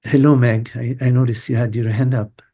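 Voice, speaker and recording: An older man from Lebanon, a spiritual teacher giving a meandering, halting satsang talk over a video call. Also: mid-range voice, level tone, warm tone, husky. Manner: meandering, halting, many fillers.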